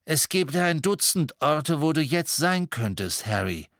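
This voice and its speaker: A man doing a calm, reassuring father. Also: a little high, unhurried, no echo, clean studio sound, pitched above the performer's own voice, exaggerated voice. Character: calm kindly middle-aged father.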